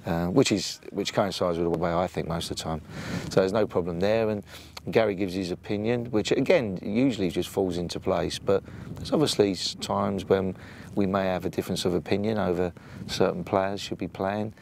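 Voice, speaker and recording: An English man in his sixties with a booming voice, speaking plainly with many ums and restarts as an assistant football coach in a sit-down interview outdoors by a training pitch. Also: moderate pace, creaky, darkish tone, slight background noise. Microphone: off-camera mic, no lapel.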